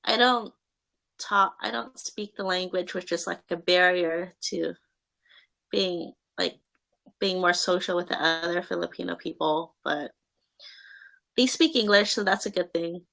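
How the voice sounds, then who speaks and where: A young woman talks through interview answers with a gravelly, creaky edge to her voice. Her tone is bright and a little tense, and she stops often to restart her sentences. She uses plenty of fillers as she goes. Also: lowish voice, measured pace, long pauses. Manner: hesitant, many fillers and restarts.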